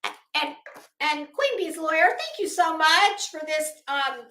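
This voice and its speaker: Bright-voiced, slow-paced woman livestreamer. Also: very high voice, sing-song. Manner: blunt, sarcastic, salty-tongued, emphatic. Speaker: livestream host. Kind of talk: casual livestream chat and commentary, greeting viewers. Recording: roomy, echoing home recording.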